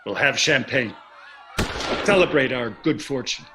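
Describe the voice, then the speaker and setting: A man's voice: medium pitch, animated, unhurried, background noise, lightly acted. A man acting a wealthy, breezy, self-satisfied husband in a play reading, with a chatty delivery. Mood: cheerful, teasing. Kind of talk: staged play reading over video call.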